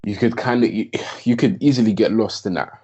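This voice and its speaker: Laid-back young man, low voice. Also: a little fast, warm tone, husky, muffled recording. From London, England. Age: young adult. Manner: laid-back, slangy, laughs often. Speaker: podcast guest. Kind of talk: casual chat.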